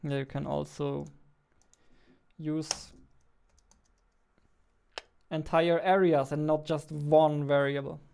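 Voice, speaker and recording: A man in his twenties talking casually as a game developer while coding, halting as he works at his desk. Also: on the high side, little pitch movement, gravelly, dark tone, clear, resonant tone. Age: 20s. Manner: halting while typing, reads text aloud slowly. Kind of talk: casual narrated coding livestream or devlog. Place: indoors, at a computer desk. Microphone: studio mic on boom arm, close.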